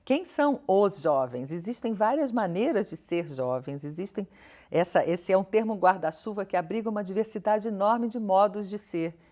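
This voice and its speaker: A woman's voice: mid-range voice, animated, moderate pace, mellow. A Brazilian woman speaking as an academic interview guest, explaining her views in an articulate, questioning way with emphasis on key words. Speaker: interview guest, professor and researcher. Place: television studio interview.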